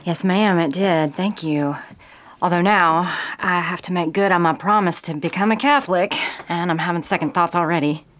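A woman's voice: lowish voice, moderate pace, fairly bright tone, lightly acted. An actress playing a dutiful young mother with a Southern US accent, polite and coaxing, in an old-time radio style drama. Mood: confiding.

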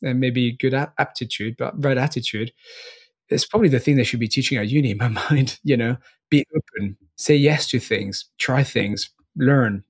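A man in his forties from France, with a mixed French and Northern Irish accent, chatting relaxed as an interview guest, often restarting and laughing lightly. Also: mid-range voice, moderate pace, slightly rough. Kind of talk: casual interview chat.

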